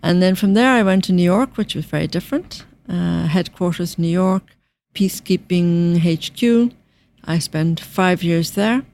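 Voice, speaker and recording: Soft-accented Irish woman, lowish voice. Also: a little slow. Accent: softened Irish. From Ireland. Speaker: UN finance director guest. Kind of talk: interview answers.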